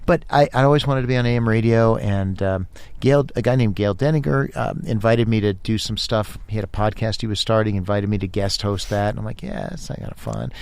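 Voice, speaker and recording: A man chatting casually as a guest on a podcast, telling stories in animated bursts with many restarts. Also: fairly low pitch, fairly quick, creaky, few pauses, clean tone. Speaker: podcast host, guest here. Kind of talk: casual interview chat.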